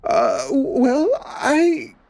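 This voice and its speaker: A smug middle-aged director in an audio story. His voice sits high and swoops around as he wheedles with oily, self-serving persuasion. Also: wildly swooping pitch, darkish tone, full character voice. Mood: panicked stammering. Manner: oily, drawn-out persuasion, stammering when cornered.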